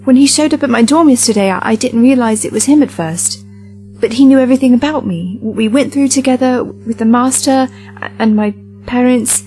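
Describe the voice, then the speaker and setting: A woman's voice: a little high, a little fast, mellow, soft background music, close to an everyday voice, rough recording. A woman playing an uncertain, defensive young companion in a science-fiction audio drama, slightly hesitant and stammering. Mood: defensive, unsure.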